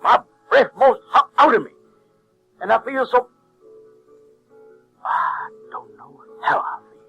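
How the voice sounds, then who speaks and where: A male actor playing a remorseful runaway slave and father, telling his story haltingly in a folksy American Southern dialect. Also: very high-pitched, gravelly, a bit bright, slightly rough, full character voice, rough recording. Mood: agitated, regretful. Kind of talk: dramatic monologue in audio drama. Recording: 1970s audio drama with music.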